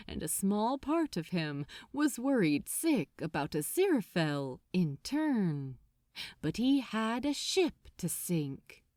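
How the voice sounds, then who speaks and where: A woman reading a story aloud as a dramatic audiobook narrator, theatrical and deliberate, drawing out key words. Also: a little high, darkish tone, dry recording, clean studio sound. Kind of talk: dramatic scripted fiction narration.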